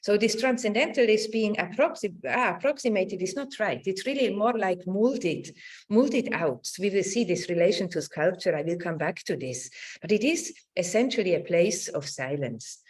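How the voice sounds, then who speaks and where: A middle-aged woman giving an academic lecture over a video call, with a halting, searching delivery that circles back over words. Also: mid-range voice, animated, a little mellow. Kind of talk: academic lecture with slides and read quotations. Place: indoors on a video call with screen sharing.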